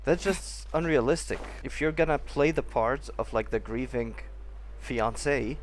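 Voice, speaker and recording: A man giving casual, halting playthrough commentary as a gaming streamer, musing in a skeptical way. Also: mid-range voice, slight background noise. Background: faint game music.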